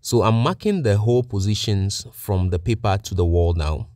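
Guy with a lowish voice walking thru a job step by step, methodical, dry close sound. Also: moderate pace, a little mellow, dry recording. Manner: methodical, plain-spoken. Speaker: technician presenting a how-to tutorial. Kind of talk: step-by-step instructional narration.

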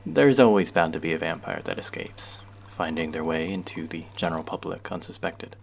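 A man's voice: sits low, animated, fairly quick, creaky, a little hiss or hum. A man voicing a friendly, teasing young male barista in an audio roleplay, chatty and playful toward a regular customer.

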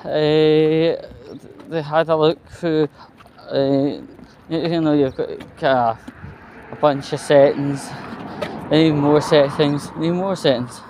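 A man talks slowly in a fairly high voice, rambling and grumbling wearily with the odd swear word. He is recording a casual vlog on his phone while walking, with faint street and traffic noise around him. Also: fairly even intonation, darkish tone. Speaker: vlogger. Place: walking outdoors along a residential street. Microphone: handheld phone. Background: street ambience, passing vehicles.

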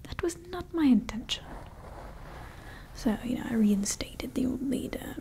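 A woman voicing a scheming female evil scientist who calls herself Mother, in a slow, soft, conspiratorial whisper for ASMR roleplay on a close microphone. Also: a little high, lively intonation, creaky. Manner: slow, intimate, conspiratorial ranting. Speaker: ASMR creator.

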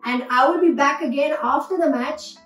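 High-voiced young Indian woman, roomy sound. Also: moderate pace, a bit bright. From Karnataka, India. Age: young adult. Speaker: cricket reviewer and state player. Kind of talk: match preview commentary to camera. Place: small indoor room at home. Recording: roomy echo, distant mic, faint music.

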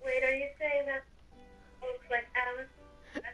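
A woman's voice: high-pitched, level tone, slow, a bit bright, soft background music, poor audio. A woman playing along in a game roleplay, talking in a teasing, dramatic way. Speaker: player in a Minecraft roleplay. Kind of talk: playful in-game roleplay chat.

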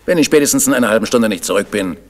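A man's voice: lowish voice, animated, rapid-fire, close to an everyday voice. An actor playing a kidnapper in a 1970s radio crime drama, a threatening man who is himself cornered. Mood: coolly threatening. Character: threatening male kidnapper turned cornered, pleading captive.

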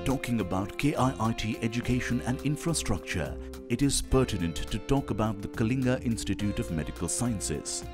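A man's voice: mid-range voice, lively intonation, normal speed. A man reading a scripted promotional narration. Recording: music bed behind voice. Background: music with plucked guitar.

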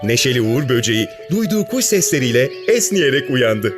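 Storybook narrator for young audiences, lively intonation, mid-range voice, music underneath. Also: medium pitch, fairly quick. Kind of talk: expressive scripted children's story narration.

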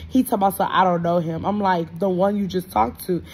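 A woman's voice: on the low side, fairly even intonation, quick pace, breathy edge. A woman, telling a slangy, gossipy story casually to a phone held close in a hotel room. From Houston, United States. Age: 20s. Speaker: vlogger. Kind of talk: casual storytime chat to camera. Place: bedroom-sized hotel room, indoors.

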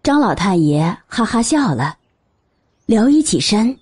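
Female narrator for serialized fiction: husky, mellow, lively intonation. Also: medium pitch, measured pace. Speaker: audiobook narrator. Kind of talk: scripted storytelling narration.

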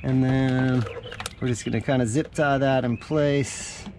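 A man with a mid-pitched voice talks through a do-it-yourself job in a casual, informal way. He thinks aloud as he works. There is clear noise behind him, recorded on an action camera out by a parked vehicle. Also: fairly even intonation, measured pace, a little mellow. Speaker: vlogger showing a DIY truck install. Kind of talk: casual hands-on how-to narration. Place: outdoors in and around a parked truck in a driveway.

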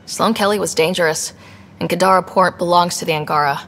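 A woman's voice: lowish voice, fairly even intonation, a little fast. A woman voicing a young space explorer in a video game, earnest, direct and full of probing questions. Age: young adult. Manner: direct, inquisitive. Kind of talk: video game dialogue acting.

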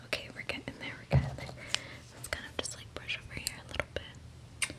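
Young woman doing asmr hairdresser roleplay, whispery, casual n reassuring, right up on the mic indoors. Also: a little fast. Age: young adult. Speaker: ASMR creator playing a hairdresser. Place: small room indoors at home. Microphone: close desktop condenser microphone.

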